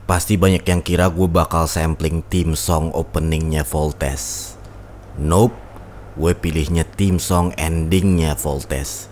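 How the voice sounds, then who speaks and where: A man's voice: sits low, darkish tone, a little hiss or hum. A man, casually narrating how he makes a beat, laid-back and step by step. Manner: laid-back, explains his process step by step. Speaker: beatmaker. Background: music.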